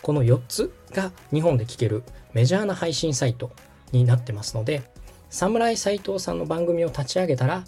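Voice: mid-range voice, lively intonation, normal speed, warm tone, faint music behind. A podcast host explaining things step by step in a tutorial manner and checking that the listener follows. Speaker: host and radio director giving a tutorial.

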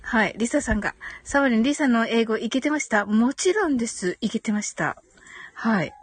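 A woman's voice: on the high side, animated, normal speed, slightly rough, dry recording. A woman hosting a live audio stream, chatting casually and politely as she reads and answers comments, with frequent agreeing hums and giggles.

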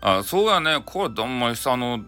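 A man's voice: mid-range voice, very animated, brisk, bright, forceful tone, faint music behind, pitched above the performer's own voice. A man voicing a homely old farmer's wife in a folktale, folksy and musing, with a Hakata accent. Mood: playfully scheming. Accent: Hakata, Japan.

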